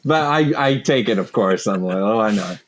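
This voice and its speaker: A man with a fairly low voice speaks loosely and casually. He chats as a cast member in a group interview, padding his sentences with fillers. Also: lively intonation, moderate pace. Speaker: cast member of an audio drama.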